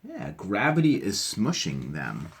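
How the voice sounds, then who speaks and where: Deep voiced guy doing a kids read aloud, super animated, casual asides, asks questions as he goes. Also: measured pace, husky. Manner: enthusiastic, explains and asks the listener questions. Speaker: teacher reading aloud to children.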